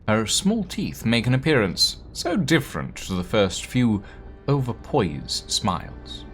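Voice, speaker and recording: Slow, lively-pitched male streamer. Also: faint music behind. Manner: slow dramatic reading, playful animated asides. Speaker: streamer playing a text game. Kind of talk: reading game text aloud with live commentary.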